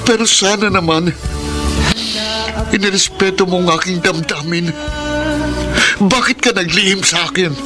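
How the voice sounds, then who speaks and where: A man's voice: high voice, measured pace, long pauses, loud music behind the voice. A Filipino actor playing a betrayed, bitter young man in a melodramatic radio drama. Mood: anguished. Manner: emphatic, accusing, melodramatic.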